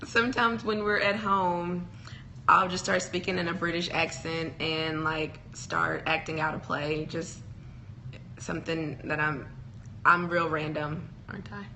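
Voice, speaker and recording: A woman's voice: low voice, flat delivery, unhurried, bright, forceful tone, slight background noise, low-quality recording. A young woman co-hosting a casual couple's chat show, rambling and playful with frequent giggles. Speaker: podcast co-host.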